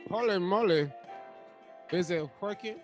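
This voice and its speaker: Young-sounding male host, high voice, animated slangy banter over music. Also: unhurried. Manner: loud and animated, laughs a lot, slangy. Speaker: podcast host. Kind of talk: casual chat.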